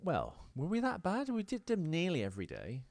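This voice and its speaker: A man's voice: on the high side, huge pitch swings, brisk, gravelly, darkish tone, no room sound. A man hosting a podcast, explaining things in casual, digressive film chat. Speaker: podcast host.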